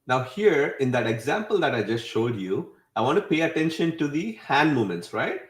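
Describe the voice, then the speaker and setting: A man hosting a webinar with a casual software walkthrough, often checking in with a tagged-on "right?". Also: mid-range voice, very animated, normal speed. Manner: often tags statements with "right?". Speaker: webinar host demonstrating software. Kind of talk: casual screen-share tutorial. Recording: roomy, echoey voice recording.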